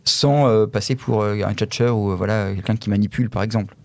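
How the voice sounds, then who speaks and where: A man's voice: fairly low pitch, steady tone, brisk, creaky, darkish tone, dry recording. A man co-hosting a casual conversation, offering thoughts and putting questions. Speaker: podcast co-host.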